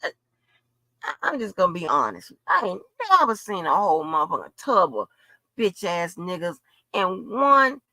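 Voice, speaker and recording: Woman ranting on a live, sharp crackly voice, swears loads, super blunt and confrontational. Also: fairly low pitch, very animated, moderate pace, no room sound. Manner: emphatic, repeats phrases for effect. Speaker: livestream host. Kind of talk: unscripted livestream rant.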